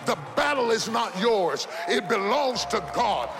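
A man's voice: high voice, expressive, a little slow, crowd noise. Preaching man in his fifties, echoing hall. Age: 50s. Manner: impassioned preaching with repeated phrases and shouted peaks. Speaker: pastor. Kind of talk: sermon. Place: church sanctuary. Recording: long reverb of a large hall.

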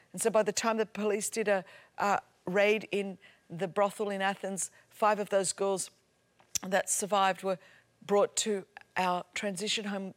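A woman, a native Greek speaker, preaching and telling a story with mounting passion and emphatic repetition. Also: mid-range voice. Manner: impassioned storytelling, builds to loud emphatic repetition. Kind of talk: sermon.

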